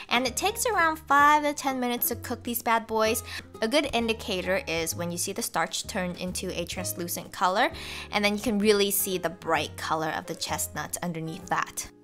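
A woman's voice: medium pitch, a bit bright. Young woman narrating a cooking recipe. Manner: cheerful step-by-step instruction. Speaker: cooking channel host. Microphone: voice-over.

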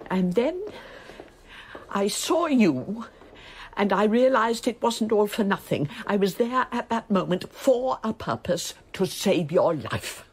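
A woman's voice: medium pitch, slightly rough, exaggerated voice. An actress playing an imperious older English noblewoman in a radio drama, formal, wry and insistent, with an English accent.

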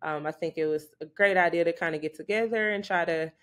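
A woman's voice: lowish voice, fast. A woman from Houston, an associate attorney, answering interview questions over a video call from her office, chatty with frequent ums. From Houston, Texas. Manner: conversational, frequent ums, light laughs. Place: office with framed diplomas, indoors. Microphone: video call webcam.

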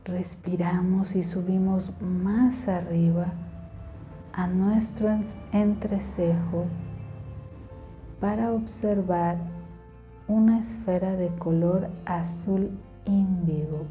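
A woman's voice: on the low side, fairly even intonation, takes it slowly, warm tone, faint music behind. A woman leading a guided meditation in a soothing, instructional way.

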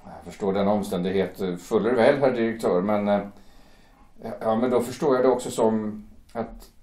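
A man acts out a deferential young shipyard worker in an audio drama, with a lowish, mellow voice. He speaks politely and stumbles over his words. The room is echoey. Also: close to an everyday voice. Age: young adult. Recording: roomy echo.

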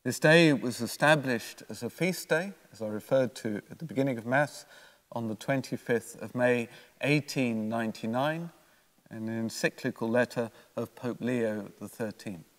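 Older priest in a reverberant church. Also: male voice, mid-range voice, normal speed. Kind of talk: homily, read from prepared notes. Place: church, at a lectern. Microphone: gooseneck lectern microphone.

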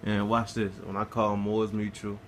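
A man's voice: lowish voice, completely flat delivery, normal speed, a little hiss or hum. A man casually talking through a game walkthrough, laid-back and swearing freely as he plays. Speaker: gameplay guide narrator.

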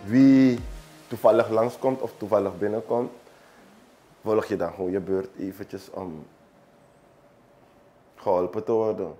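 Surinamese-accented guy being interviewed, low voice, stops and starts, repeats himself, music playing behind. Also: warm tone. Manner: halting, repeats phrases for emphasis. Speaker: barber, small business owner interviewee. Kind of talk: unscripted interview talk. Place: small barbershop, indoors, seated. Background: background music.

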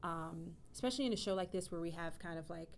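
A woman in her thirties, a stage actress and singer, chatting casually in an interview, low-voiced and often laughing. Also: fast talker. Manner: laughing often, animated with hand gestures. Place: indoor room with wooden shelves.

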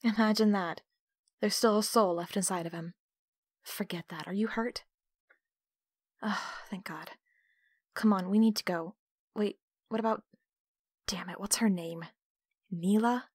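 A woman's voice: medium pitch, steady tone, a little slow, long pauses, husky, no room sound, clean studio sound. A woman playing a protective, concerned ally of assassins in an audio roleplay drama. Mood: anxious, relieved.

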